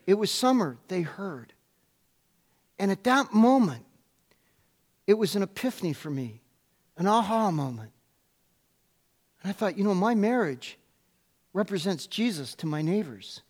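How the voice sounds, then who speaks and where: A man preaches a sermon in a high, ringing voice, loud and emphatic. He presses his questions again and again and slips in playful asides between points. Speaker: pastor.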